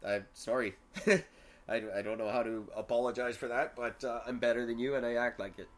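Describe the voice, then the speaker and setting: A young man talking loosely on a podcast, brash and self-mocking about his own arrogance. His voice sits at an ordinary middle pitch and he swears freely. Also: fairly even intonation, brisk. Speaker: podcast co-host. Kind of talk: casual podcast chat.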